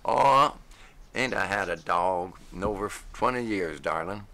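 Folksy old-timer with a slow rural drawl, deep and gravelly, put on by a male narrator. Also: animated, pushed and bright, full character voice. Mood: wistful. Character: folksy older man, grieving dog lover.

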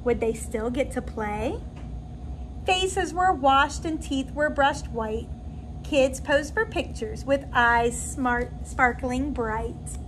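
High-pitched woman reading unhurriedly to children. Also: slow pace, a little hiss or hum, low-quality recording. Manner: expressive, animated delivery to children. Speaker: kindergarten teacher. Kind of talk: read-aloud storytelling for young children. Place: indoor classroom.

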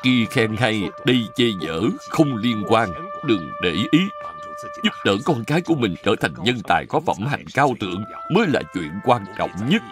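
A man's voice: fairly low pitch, sing-song, moderate pace. Casting: elderly cartoon grandfather, firm but caring, swinging intonation, music underneath. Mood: firm advice. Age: older. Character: firm, caring old grandfather with high principles. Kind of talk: cartoon dub.

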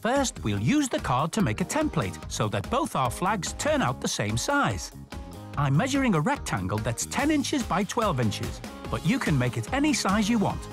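A middle-aged man presenting and telling stories to children as a station master, expressive and clearly enunciated. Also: high-pitched, normal speed, music in the background.

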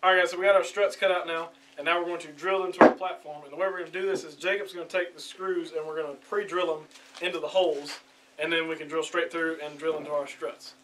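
Young male DIY vlog host, slightly high and bright, upbeat and chatty, camera mic outdoors. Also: fairly even intonation, quick pace, distant mic, soft background music, low-quality recording. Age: young adult. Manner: upbeat, chatty, filler uh's. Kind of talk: casual how-to vlog. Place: outdoors in a yard, then an open carport.

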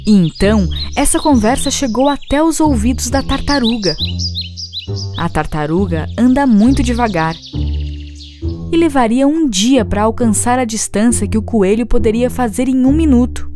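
A woman's voice: on the high side, expressive, moderate pace. A woman giving a scripted storybook narration for children, as the narrator of a fable. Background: music behind the voice.

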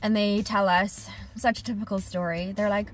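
Animated woman vlogging from her truck. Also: mid-range voice, moderate pace, soft background music. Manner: animated, expressive, gesturing with hands. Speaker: HVAC technician and business owner. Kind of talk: casual vlog diary. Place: inside a parked truck cab. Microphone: camera on dashboard at arm's length.

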